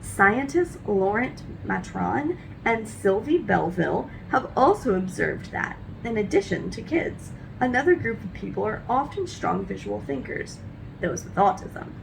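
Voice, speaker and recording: A woman's voice: mid-range voice, sing-song, unhurried, creaky, a little hiss or hum, low-quality recording. A woman reading a nonfiction book aloud for children, expressively, with careful teacherly phrasing and emphasized questions. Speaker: read-aloud host.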